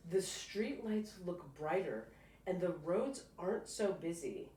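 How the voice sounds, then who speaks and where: A woman with a fairly low voice reads aloud as a teacher would, with a lively range and unhurried pacing. She stops to explain and ask questions in an encouraging way. The recording is roomy and echoing. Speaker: reading teacher. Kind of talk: read-aloud lesson with questions to a child. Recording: roomy, distant mic, faint noise.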